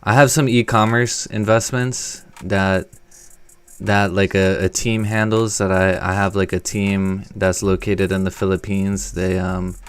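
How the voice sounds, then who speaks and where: The low-voiced young American guy hosting a late-morning trading stream, slangy small talk over a music bed. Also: little pitch movement, measured pace, clean tone. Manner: casual, slangy, profane. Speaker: live stream host and trader. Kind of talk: casual chat.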